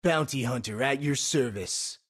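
An actor playing a confident, predatory bounty hunter soldier in a video game. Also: male voice, mid-range voice, unhurried, husky. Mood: cool, menacing.

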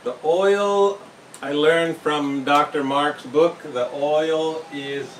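A middle-aged man hosting a home cooking channel, talking to the camera in a slow, gentle way with fillers and restarts, in a small brick-walled kitchen. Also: a little high, fairly even intonation. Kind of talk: casual talk to camera. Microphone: camera mic from across the room. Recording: roomy, distant camera sound with faint hiss.

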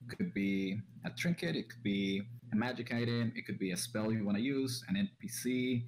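A man's voice: lowish voice, moderate pace, a little mellow, slight background noise, rough recording. A young man from Colombia, a native Spanish speaker, appears as a guest on a video call and talks hesitantly, often starting with "um", into a headset and studio mic at home. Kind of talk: casual video call chat. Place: small room at home. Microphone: headset with large studio mic.

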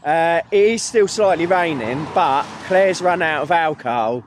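Animated British man, high-pitched, jokey. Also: unhurried. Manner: jokey, teasing banter. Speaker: vlog host. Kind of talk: casual chat to camera. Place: camper van, farm yard and outdoor spots. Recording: clear ambient noise on camera audio.

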